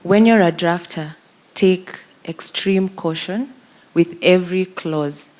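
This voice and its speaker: A woman speaking on a legal panel, giving emphatic, deliberate advice. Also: fairly low pitch, darkish tone. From Kenya. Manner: emphatic, advising with deliberate stress. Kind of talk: panel discussion talk.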